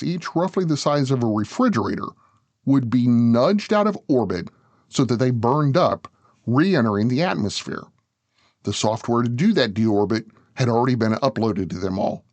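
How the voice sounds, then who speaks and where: A man's voice: mid-range voice, a little mellow, no echo. A man narrating a scripted business documentary, talking straight to the listener in a conversational way. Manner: conversational, addresses the listener directly. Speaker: show narrator.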